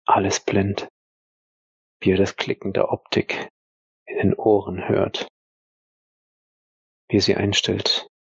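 Man, dramatic narration, slow and deliberate, gravelly, short grave phrases, dull lo-fi recording. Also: fairly low pitch, dry recording. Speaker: storyteller in a role-play audio drama.

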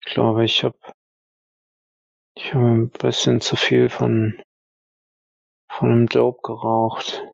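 A man's voice: mid-range voice, completely flat delivery, takes it slowly, vocal fry, long pauses, no echo, muffled recording, full character voice. A man playing a stoned, weary young sniper in an audio drama, sluggish, mumbling and detached. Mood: dazed.

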